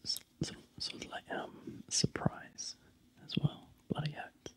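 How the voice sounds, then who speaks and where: A man with a New Zealand accent, whispering softly in ASMR style with frequent ums. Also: takes it slowly.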